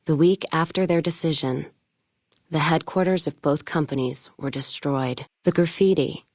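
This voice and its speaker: Low-voiced, tough wasteland caravan woman. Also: moderate pace, darkish tone, no room sound, studio quality. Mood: solemn, reflective. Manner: blunt, sardonic, hard-bitten. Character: tough, foul-mouthed, whiskey-drinking woman caravan owner of the wasteland. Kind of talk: video game character voice lines.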